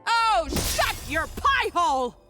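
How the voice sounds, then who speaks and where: Unhinged game villainess, high voice, bitter, slow, theatrical, music behind. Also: female voice, sing-song, heavily acted. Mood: angry. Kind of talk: video game voice acting.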